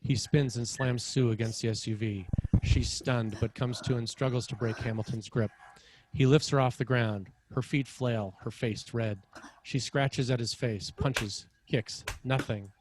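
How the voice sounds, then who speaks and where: A man's voice: medium pitch, steady tone, a little slow, noisy background. A man reading screenplay action lines as narrator at a table read. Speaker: screenwriter narrating stage directions.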